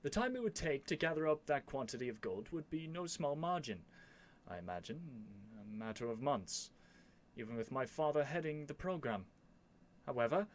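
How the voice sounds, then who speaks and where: A man's voice: medium pitch, natural voice. A man playing a young royal prince in a scripted ASMR roleplay, earnest and lovestruck, speaking in formal, courtly phrases with haughty airs and flustered hesitations. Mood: persuasive. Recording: faint background noise.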